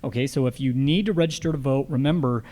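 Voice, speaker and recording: A man's voice: medium pitch, quick pace, mellow. An American man speaking as a radio host and moderator at a live candidate forum.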